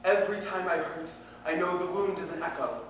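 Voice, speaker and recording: A man performing spoken-word poetry with impassioned, deliberate, earnest phrasing. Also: high voice, a bit bright. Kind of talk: slam poetry recitation, dramatic and earnest. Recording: very echoey room, distant mic, faint noise.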